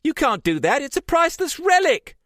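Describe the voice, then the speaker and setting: A man voicing an indignant young woman who shouts in outrage to protect ancient relics. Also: medium pitch, huge pitch swings, moderate pace, no echo, studio quality, pitched above the performer's own voice. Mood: outraged.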